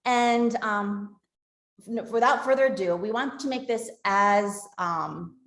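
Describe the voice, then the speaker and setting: A woman moderating a virtual panel discussion, friendly and halting with frequent fillers. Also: medium pitch, fairly bright tone, echoey room. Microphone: video call.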